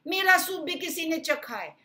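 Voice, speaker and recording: A woman acts out an upset storybook mamma bear. She cries out her complaints in a high, shrill, swooping voice. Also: expressive, moderate pace, sharp tone, full character voice.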